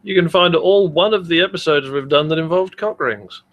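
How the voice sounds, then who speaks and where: A man's voice: a little high, moderate pace, muffled recording. A man co-hosting a comedy podcast with wry, sardonic banter and mock-dramatic readings. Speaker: podcast co-host.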